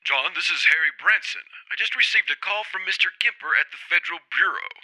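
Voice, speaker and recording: A very sharp, piercing caller in a radio detective play, acted by a man. He talks quickly and urgently, a brisk office colleague with news to pass on. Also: on the high side, animated, rough recording. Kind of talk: old-time radio drama acting.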